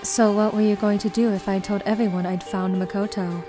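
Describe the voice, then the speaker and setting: A woman voicing a composed, analytical teenage girl detective in a fan dub, speaking in a measured, formal and deliberate way. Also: mid-range voice, fairly even intonation, over music, close to an everyday voice. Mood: calm, probing. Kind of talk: anime-style fan dub acting.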